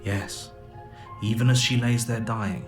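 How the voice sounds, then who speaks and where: An actor playing a calm, manipulative game narrator who tells the story in a measured, hushed, soothing way. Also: male voice, mid-range voice, over music, close to an everyday voice. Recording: game audio with background noise.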